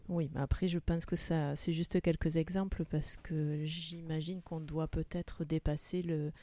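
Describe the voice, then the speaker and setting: A man's voice: a little high, level tone, a little slow, dark tone. A man hosting a casual, unscripted radio discussion. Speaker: radio host.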